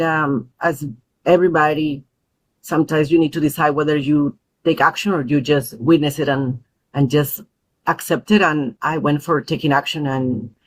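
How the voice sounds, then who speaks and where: A woman who grew up in Peru, a mother and parent advocate, speaking as a podcast guest in an earnest, thoughtful way with frequent pauses and fillers. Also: low voice, low-quality recording. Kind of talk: podcast interview.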